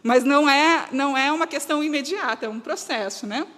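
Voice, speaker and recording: A woman with a southern Brazilian gaúcho accent speaks in a notably high voice. She lectures in an earnest, semi-spontaneous way, restarting phrases and pressing her points. Long reverberation surrounds her, as in a large hall. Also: brisk, a bit bright. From Rio Grande do Sul, Brazil. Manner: earnest, emphatic, often breaking off and restating. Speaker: guest speaker. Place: reverberant hall, live talk to an audience.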